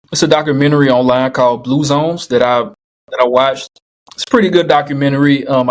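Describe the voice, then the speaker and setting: A man speaking as a podcast guest and vegan coach, earnest and emphatic, often repeating phrases for stress. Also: medium pitch. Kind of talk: casual podcast conversation.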